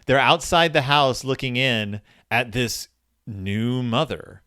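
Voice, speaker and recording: A man hosting a casual book discussion, informal and colloquial, retelling and quoting a story in an animated way. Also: medium pitch, slow, no echo, studio quality. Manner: animated, acts out quoted dialogue. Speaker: podcast host.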